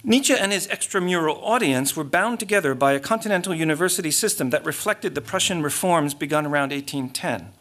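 Middle-aged male academic, slightly high voice, measured lecture read at a lectern mic, stumbles and self-corrects. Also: lively intonation, fairly bright tone. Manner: measured, reads aloud with frequent restarts and asides. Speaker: keynote lecturer. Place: large lecture hall stage with curtains. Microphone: lectern microphone.

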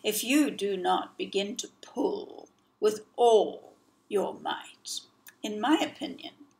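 A woman's voice: mid-range voice, animated, slow pace, darkish tone, low-quality recording. A woman voicing a pompous, old-fashioned python snake who speaks formally. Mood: lofty warning.

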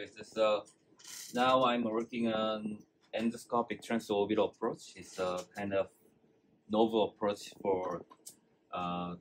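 Surgeon guy, lowish voice, soft and halting, bit of background hum. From South Korea. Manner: soft, halting explanation in simple English. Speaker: visiting surgeon.

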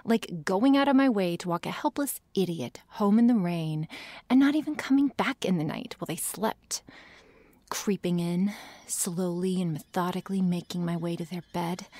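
Casting: woman as defensive, secretly shy vampire girl for ASMR roleplay; lowish, unhurried delivery, sighs and near-whispers. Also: a little mellow. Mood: teasing, menacing. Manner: hesitant, sighing, defensive, often drops to a whisper. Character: tsundere vampire girl, prickly, sarcastic, secretly shy and sweet. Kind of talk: ASMR roleplay addressed to the listener.

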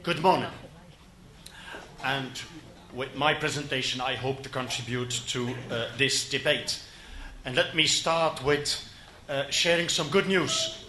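A man in his fifties from Belgium, a native Flemish speaker, giving a conference presentation in English with a Flemish accent at a podium microphone. He speaks haltingly, with frequent fillers and restarts. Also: medium pitch, sharp tone, a little hiss or hum. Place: conference hall. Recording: echoey conference hall.

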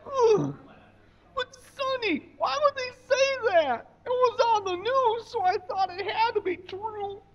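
A man voicing a naive, excitable, childlike boy puppet in a squeaky voice at a live comedy show. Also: slow pace, highs cut off, heavily acted. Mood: confused, anxious. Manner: squeaky, exclamatory, gullible. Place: small indoor stage.

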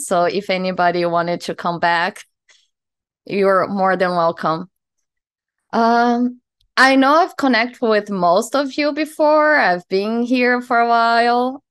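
A woman's voice: medium pitch, fairly bright tone, smooth. A woman in her thirties from Brazil with a Brazilian Portuguese accent, chatting in a friendly way as a hypnotherapist introducing herself on a video call, laughing easily and making small slips in English. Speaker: hypnotherapist host. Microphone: headphones on a video call.

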